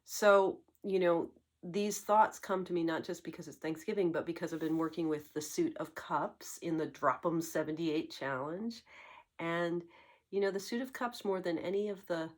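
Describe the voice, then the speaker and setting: A woman hosting a tarot channel, getting over a cold and slightly congested, speaking in a reflective, musing way with frequent pauses and fillers. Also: fairly low pitch, steady tone. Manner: musing, searching for words, many fillers. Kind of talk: reflective spoken monologue.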